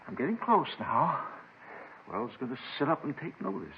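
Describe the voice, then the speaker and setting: A paranoid, reclusive doomsday crank in a vintage radio drama. His fairly high, husky voice lurches up and down as he stammers and repeats himself, agitated and suspicious. The old recording is slightly dull. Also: big pitch swings, moderate pace, slight background noise. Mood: eager, rambling. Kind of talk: 1950s radio drama acting.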